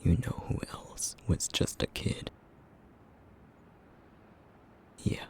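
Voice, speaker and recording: A man playing a brooding, guilt-ridden essence-eating creature in an ASMR roleplay drama, bitter and sarcastic, with a breathy, gravelly half-whisper. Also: unhurried, natural voice. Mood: bitter, defensive.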